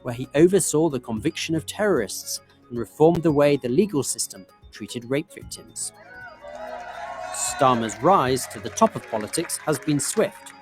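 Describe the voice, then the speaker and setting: A man reading scripted explainer narration in a crisp, measured way. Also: medium pitch, lively intonation, over music. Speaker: documentary narrator.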